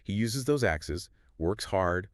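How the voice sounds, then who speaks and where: Man, low voice, lively up-and-down delivery, casual podcast chat, dry close sound. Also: slow, no echo. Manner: animated storytelling, relaxed fillers. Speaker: podcast co-host.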